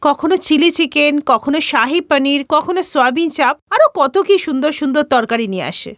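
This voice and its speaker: A woman's voice: sits high, a little fast, a bit bright, no room sound, studio quality. A woman voicing a self-centred young working daughter-in-law in a cartoon family story, whiny and protesting. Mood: complaining. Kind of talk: Bengali moral-story cartoon voice acting.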